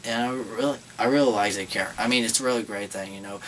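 A man's voice: lowish voice, quick pace, fairly bright tone, recorded from a distance, a little hiss or hum. A young man co-hosting a casual horror movie chat in a small room, rambling with many fillers and restarts. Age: young adult. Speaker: horror channel co-host.

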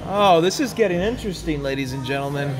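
Guy in his 30s doing jokey golf play-by-play in a sim bay, a bit high voiced, music under it. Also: expressive, fast talker. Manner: teasing, mock sportscaster asides. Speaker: golf vlog co-host. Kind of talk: playful golf commentary. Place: indoor golf simulator bay.

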